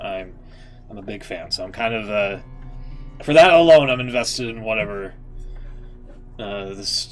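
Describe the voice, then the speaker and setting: A man with a fairly low voice talks casually on a live stream. He thinks aloud in a rambling, hedging way and throws in short reactions, with music playing behind him. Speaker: streamer reacting to game story readings.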